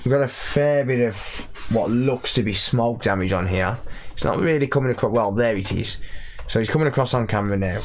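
Young male vlogger, raspy from illness, casual and self-deprecating, medium pitch, faint hiss behind. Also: brisk. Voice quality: slightly raspy voice after illness. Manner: chatty, self-deprecating, thinks aloud while working. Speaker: electronics repair YouTuber. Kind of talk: casual repair vlog. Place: cluttered repair workshop, indoors.

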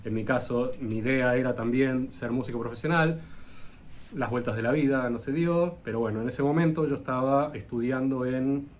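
A man's voice: mid-range voice, steady tone, moderate pace. A man with an Argentine accent, a luthier guest on a video call, telling long stories with lots of hesitant "eh" fillers. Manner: hesitant, frequent "eh" fillers, rambling anecdotes. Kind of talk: casual interview storytelling.

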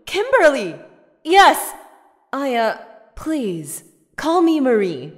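Flustered captive, high animated voice. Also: female voice, slow, exaggerated voice. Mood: fearful. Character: flustered, fearful adult female captive. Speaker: voice actor. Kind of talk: audio drama acting. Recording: long hall-like reverb.

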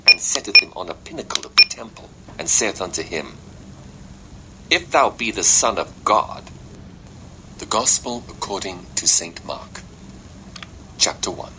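Deep solemn male scripture narrator, noisy playback. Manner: measured, solemn. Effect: played through a small device speaker. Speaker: audiobook Bible narrator. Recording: small speaker re-recorded, loud noise.

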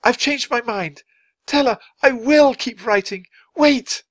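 A man voicing an excitable, fervent male writer in a storybook, exclaiming and stammering when overwhelmed. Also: sits high, normal speed, no room sound, voice pushed up. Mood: frightened, distraught.

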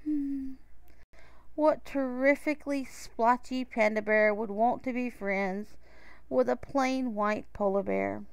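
A woman's voice: fairly high pitch, takes it slowly, clean tone, dry recording. Shy young polar bear, read by a woman. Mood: wistful, sad. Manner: hesitant, quiet.

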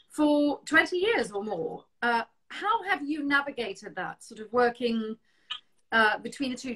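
A middle-aged woman with a South African accent hosting a casual video-call interview from home, chatty and quick to laugh. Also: a little high, pushed and bright, low-quality recording. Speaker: interview show host. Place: home room with shelves. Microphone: video call webcam.

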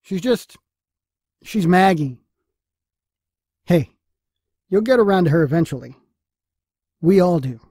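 Bitter scolding dad for audio drama male actor; husky, contemptuous, dry close recording. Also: high-pitched, lively intonation, takes it slowly, pauses often, mellow, no echo, full character voice. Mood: scolding, defensive. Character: bitter, belligerent, foul-mouthed father.

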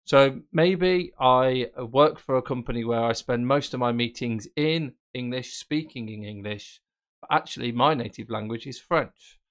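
A man presenting a screen-recorded software tutorial, explaining casually and thinking aloud with fillers. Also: mid-range voice, unhurried. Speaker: tech presenter.

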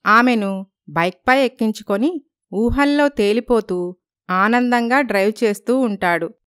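A woman's voice: a little high, unhurried, fairly bright tone, smooth, no echo, studio quality. A woman reading scripted narration as a storyteller.

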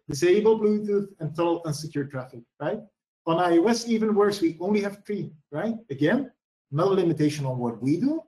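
Male presenter talking through a screen-share demo, slightly high voice, roomy echo. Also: mellow. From Belgium. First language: Dutch. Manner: explanatory, frequent "right?" tags and "uh" fillers. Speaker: security product presenter.

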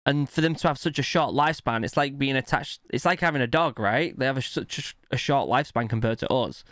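A man's voice: mid-range voice, fairly quick, a bit bright, no room sound. A British man in his thirties giving casual, enthusiastic, exclamatory reaction commentary into a close microphone. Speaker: reaction video host. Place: small indoor room at home. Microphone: close desk microphone.

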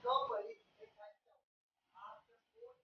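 A man guiding visitors around turtle tanks at an open-air hatchery, explaining in halting, broken English with many fillers, picked up by a visitor's handheld camera. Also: very high voice, steady tone, creaky, highs cut off, noisy background. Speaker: tour guide at a turtle hatchery. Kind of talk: guided tour explanation. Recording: distant, roomy, music laid over.

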